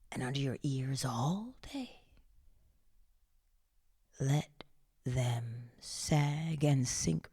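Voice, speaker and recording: Female meditation guide, low-pitched and very breathy, often whispering, soothing and unhurried, with close, intimate delivery. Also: no echo. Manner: hushed, soothing, gently coaxing with pauses. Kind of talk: slow guided meditation narration, partly whispered.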